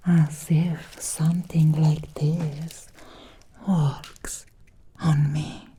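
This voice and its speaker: Woman acting out an ancient female vampire, ASMR roleplay, breathy whisper, very soft dark tone, low-pitched, seductive, possessive, deliberate. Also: slight background noise. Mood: possessive, haughty. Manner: slow, deliberate, lingering pauses.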